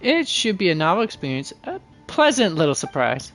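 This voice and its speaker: A man hosts a casual game livestream with music playing behind him. His voice sits at a high-middle pitch as he reads dialogue aloud in a halting way, correcting himself and adding offhand commentary. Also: big pitch swings, fairly bright tone.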